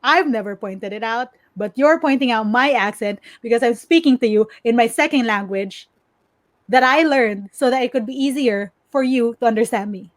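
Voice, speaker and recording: A woman with a fairly high voice, recorded dry with no room sound. She co-hosts a casual podcast and gives her opinions in an outspoken, emphatic way. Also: normal speed, dry recording. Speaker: podcast co-host and tech content creator.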